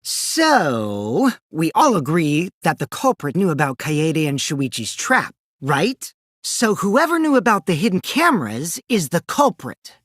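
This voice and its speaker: A man's voice: a little high, sing-song, a little slow, a bit bright, no echo, studio quality, exaggerated voice. A man voicing a mischievous, taunting teenage boy schemer in a video game, with a light boyish voice and a theatrical, teasing delivery. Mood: cool, sly, then mocking, playful. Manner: theatrical, teasing, swinging between sing-song and cold. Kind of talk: animated video game dub acting.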